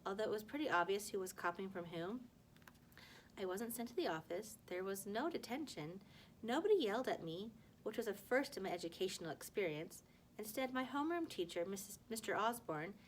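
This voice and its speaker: Woman reading a story aloud over noticeable noise. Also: mid-range voice. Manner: expressive reading with stumbles and self-corrections. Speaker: teacher reading a book aloud to a class. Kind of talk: storybook read-aloud with acted dialogue.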